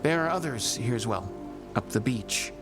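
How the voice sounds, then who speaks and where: An actor playing a gentle Qunari man, speaking in a measured, formal way. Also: mid-range voice, expressive, natural voice. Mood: calm. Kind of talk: video game cutscene dialogue. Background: music.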